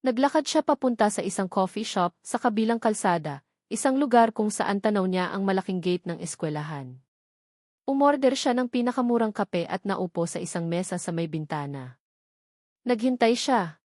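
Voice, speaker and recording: A woman with a Filipino accent reads a dramatic story in a measured, solemn way, in short phrases. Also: medium pitch, expressive, darkish tone, dry recording, clean studio sound. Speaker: story narrator. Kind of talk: dramatic scripted narration.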